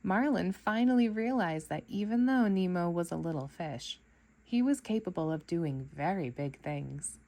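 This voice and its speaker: A woman storyteller reading a children's book aloud in an expressive narrating style for kids. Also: on the low side, moderate pace.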